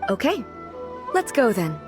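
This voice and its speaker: A woman's voice: a little high, sing-song, unhurried, over music. A woman playing a composed, curious ruling goddess in a video game, speaking formally and thoughtfully. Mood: composed, intrigued. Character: regal female ruling deity, composed, curious, naively earnest. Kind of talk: video game character acting.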